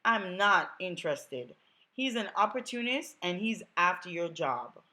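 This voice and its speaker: A woman's voice: lowish voice, sing-song, a little slow, pushed and bright, full character voice. A Jamaican woman doing a sassy legal secretary. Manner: sassy, teasing. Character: sassy, outspoken young female legal secretary.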